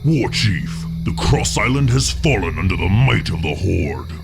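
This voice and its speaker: A man's voice: low voice, big pitch swings, unhurried, creaky, full character voice. An actor voicing a dutiful orc warrior in a video game, giving formal military reports to his war chief. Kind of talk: video game character acting. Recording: loud music under the voice.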